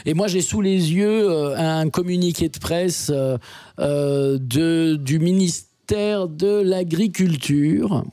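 A man's voice: on the high side, slow, warm tone, no echo. A man hosting a radio interview, asking questions hesitantly with many fillers and restarts. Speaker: radio host.

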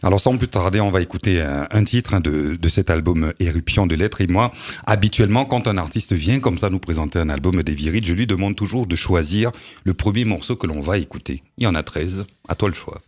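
A man hosting a radio interview, presenting his guest in a relaxed, conversational way. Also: low voice, a little fast. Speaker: radio host.

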